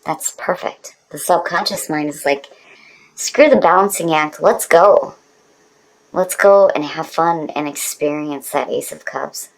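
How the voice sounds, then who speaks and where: A woman's voice: low voice, measured pace, low-quality recording. A woman speaking as a tarot reader in a casual, conversational style, with an informal, emphatic manner.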